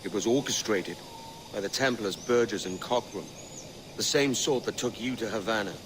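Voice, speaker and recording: An actor playing a bold young pirate captain who speaks in a wry, clipped, confident way in a video game cutscene. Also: male voice, on the low side, moderate pace, lightly acted. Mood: dry. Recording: game audio with ambient noise.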